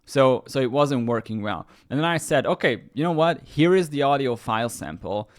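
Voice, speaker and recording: Hungarian-native guy doing a casual tech tutorial, mid pitch, lots of uhs and restarts. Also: expressive, moderate pace. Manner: rambling, many fillers and restarts. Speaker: YouTube tech host. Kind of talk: casual screen-share tutorial.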